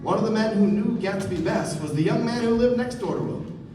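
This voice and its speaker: A man's voice: high-pitched, a little fast, close to an everyday voice. A man playing a genial old-time radio announcer, welcoming listeners with formal, theatrical showmanship. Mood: showy, welcoming. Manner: theatrical, formal, projected delivery. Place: stage of a small theatre. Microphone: far camera. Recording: echoey theatre from far off, music behind.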